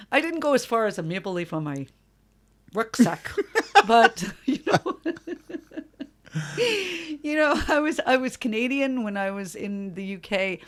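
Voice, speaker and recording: Chatty New woman, middle-aged. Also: a little high. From New York. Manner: animated storyteller, swears freely, frequent restarts and laughs. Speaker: guest. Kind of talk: casual interview chat.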